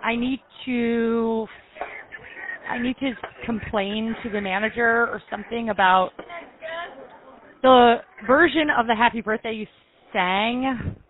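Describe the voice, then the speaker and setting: High-voiced woman with dulled sound. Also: takes it slowly, pauses often, narrow, tinny audio. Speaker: prank caller on a comedy show. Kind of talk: improvised prank phone call. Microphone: headset microphone.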